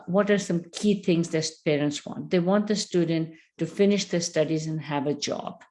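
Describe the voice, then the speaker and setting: A middle-aged woman presenting a training webinar for university recruitment agents, speaking deliberately with frequent fillers. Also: low voice, warm tone.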